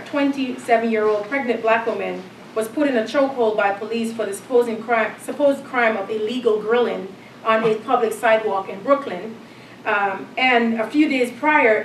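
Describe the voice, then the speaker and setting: A middle-aged woman from Jamaica giving an academic lecture, reading in a measured way with frequent ums. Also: a little high, roomy sound, distant mic, slight background noise, low-quality recording. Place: lecture hall.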